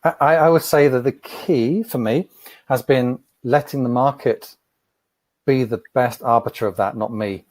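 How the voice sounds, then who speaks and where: A man answering interview questions as an entrepreneur, thoughtful and measured, often repeating a word as he starts. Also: mid-range voice, a little mellow, no room sound. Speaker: podcast guest, entrepreneur.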